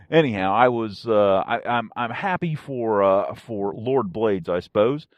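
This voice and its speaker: Male podcast host, folksy and halting, animated pitch, dry lo-fi recording. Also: no echo. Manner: halting, rambling, searching for words. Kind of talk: casual solo podcast monologue. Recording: dull, dry lo-fi audio.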